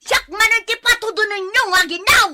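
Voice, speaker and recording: A woman playing a sharp-tongued, exasperated housewife and mother in an Ilocano radio drama, with a scolding, exclamatory delivery. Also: very high-pitched, moderate pace, background noise. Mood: angry, scolding.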